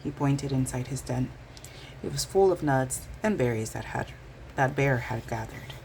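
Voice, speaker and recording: A woman reads a storybook for children. Her voice is unusually low for a woman and moves in animated swoops as she narrates. Faint noise can be heard behind her. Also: moderate pace, gravelly. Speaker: bedtime story reader. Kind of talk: expressive children's storybook reading.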